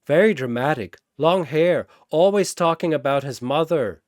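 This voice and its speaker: A man's voice: fairly high pitch, expressive, moderate pace, no room sound, clean studio sound, lightly acted. A man voicing a cheerful, naive, friendly peacemaker in a story, chirpy and earnest.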